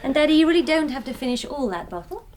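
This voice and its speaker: An actress playing a perceptive grown-up daughter in a radio drama, young, British, polite but pointed. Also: female voice, sits high, expressive, quick pace, a little mellow, slight background noise. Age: young adult.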